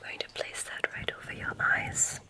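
Whispering woman in witchy ASMR roleplay. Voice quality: breathy unvoiced whisper. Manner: gentle, drawn-out, effusively complimentary. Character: gracious, welcoming witch dean of a magic school.

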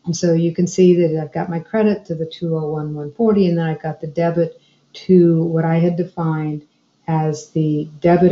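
A woman explaining software step by step as a trainer narrating a screencast tutorial. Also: low-pitched. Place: indoor room, screen recording voice-over. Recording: dull, roomy sound.

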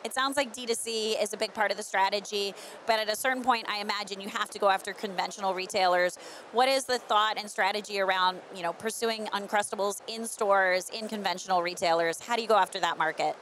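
A woman's voice: mid-range voice, fairly even intonation, fairly quick, fairly bright tone. Woman interviewer with faint noise behind her. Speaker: editor and interviewer. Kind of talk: on-camera trade show interview. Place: busy trade show booth indoors. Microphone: handheld microphone. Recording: faint convention hall background noise.

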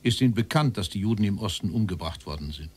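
Stern, insistent interrogator. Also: male voice, on the low side, expressive, fast, slightly rough. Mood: probing. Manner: probing, insistent, formal. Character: stern male interrogator questioning a Nazi-era official. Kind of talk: documentary radio drama dialogue.

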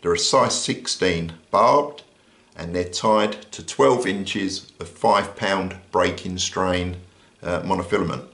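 An older British man with an easygoing manner, explaining a survival kit item by item while pausing now and then. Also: deep voice, vocal fry, echoey room. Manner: relaxed, explanatory, with frequent "uh" pauses. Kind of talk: casual show-and-tell demonstration. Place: indoors at a table. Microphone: overhead camera on hands, speaker off frame.

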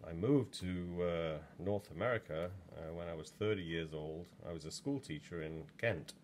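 A man's voice: deep voice, normal speed, slight background noise. A man in his sixties from England, chatting in a rambling way with plenty of ums while working in a pottery studio, recorded from a camera just over his shoulder. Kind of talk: casual demo talk while working.

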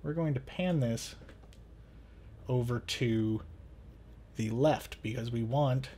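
A man in his thirties with a mid-pitched voice presents a tutorial. He explains things step by step in a casual, conversational way, as a host talking viewers through what he is doing. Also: slow, mellow, a little hiss or hum.

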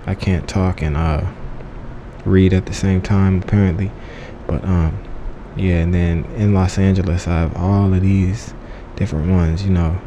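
A man giving a casual, unscripted app review in a slangy, joking, offhand way. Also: low voice. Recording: clearly noisy background.

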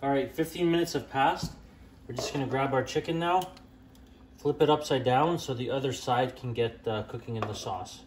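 Relaxed young man teaching a home recipe. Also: mid-range voice, moderate pace, a little hiss or hum. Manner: relaxed and plain-spoken, with frequent "uh" and "um" fillers and small self-corrections. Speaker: home cooking channel host. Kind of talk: step-by-step cooking instruction. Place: home kitchen at the stove.

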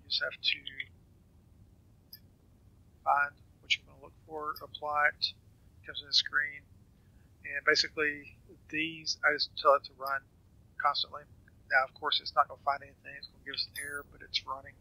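Raspy, bright-voiced man explaining a game. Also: fairly high pitch, monotone, moderate pace, pauses often, no echo, rough recording. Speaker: gamer giving a tutorial. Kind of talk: casual game walkthrough commentary.

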